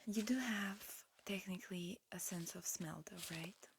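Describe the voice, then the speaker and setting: A woman whispering breathily in a gentle, halting way, close to the mic, playing a politely fussy examiner in an ASMR roleplay. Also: fairly low pitch, slight background noise. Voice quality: breathy, mostly whispered with soft murmurs. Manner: gentle, unhurried, halting instructions. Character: disguised alien medical examiner, politely fussy and encouraging.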